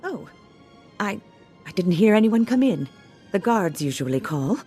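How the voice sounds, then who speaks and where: A woman's voice: mid-range voice, very animated, a little slow, mellow, husky, soft background music. A woman playing a polite but guarded wife in a video game. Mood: hesitant, wary. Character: polite, wary wife of a crime supplier. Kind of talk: video game dialogue acting.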